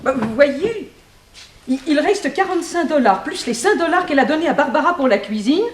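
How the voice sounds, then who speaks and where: An actress playing a frank, wry young dancer in an early 1960s French radio mystery, theatrical and gossipy. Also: female voice, sits high, expressive, a little fast. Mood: matter-of-fact.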